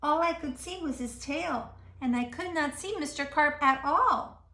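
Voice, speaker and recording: A woman's voice: high-pitched, measured pace, bright, forceful tone, reverberant. A woman reading a picture book aloud to children, expressively, with questions and asides to her young listeners.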